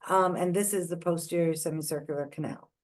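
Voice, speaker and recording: Woman from india teaching, slow careful pointing-out, lower voice. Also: steady tone, darkish tone. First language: not English. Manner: careful, methodical, points at images. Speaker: lecturer on ear anatomy. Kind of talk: online lecture walking through scans.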